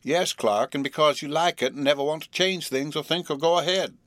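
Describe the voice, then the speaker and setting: A man voicing an affectionate, restless young woman from the South, with a Southern US drawl and an earnest, halting manner in a storybook reading. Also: on the high side, fairly bright tone, no room sound, pitched above the performer's own voice, close to an everyday voice. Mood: wistful.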